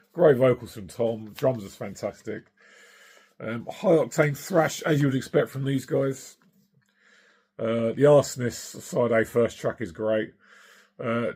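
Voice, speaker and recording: A middle-aged man with a hoarse, husky voice from singing, chatting casually and enthusiastically about records he has collected, with plenty of ums and uhs. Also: mid-range voice, a little mellow. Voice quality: gravelly, husky. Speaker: vinyl collector vlogger.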